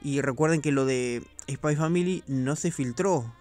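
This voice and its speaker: A man's voice: mid-range voice, a little slow, a little mellow, faint music behind. A man giving casual, slangy commentary on video game news, with the odd swear word. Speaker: gaming YouTuber.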